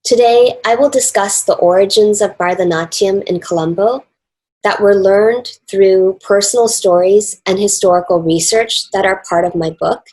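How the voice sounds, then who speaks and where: A woman with a North American accent reads a scripted academic talk in a formal, measured and deliberate way. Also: medium pitch, darkish tone, poor audio. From Canada and the United States. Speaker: academic speaker, author and dance researcher.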